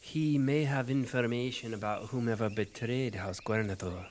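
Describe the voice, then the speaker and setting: A man's voice: medium pitch, moderate pace, darkish tone, lightly acted. A man playing a stern, curt general. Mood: commanding. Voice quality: curt, commanding. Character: stern, terse military general. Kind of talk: audio drama acting.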